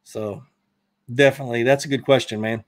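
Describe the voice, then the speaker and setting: A man's voice: mid-range voice, fairly even intonation, brisk. A man in his thirties with a Southern US accent, hosting a fishing live stream and casually answering viewer questions in a rambling, self-correcting way. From Georgia, US. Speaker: fishing channel host. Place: indoor studio room, brick wall. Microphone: desk mic in front.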